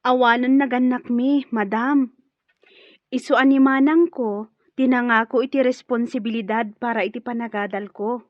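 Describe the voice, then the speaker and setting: An actress playing a polite, earnest young student looking for work in a radio drama. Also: female voice, fairly high pitch, steady tone, normal speed, no echo, dull audio, lightly acted. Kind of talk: Ilocano radio drama acting.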